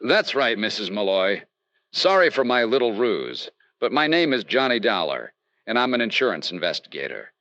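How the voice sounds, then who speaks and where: An actor playing a confident insurance investigator and wry narrator in old-time radio detective style, polite but firm. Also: male voice, lowish voice, sing-song, moderate pace, sharp tone, no room sound, dull audio, full character voice.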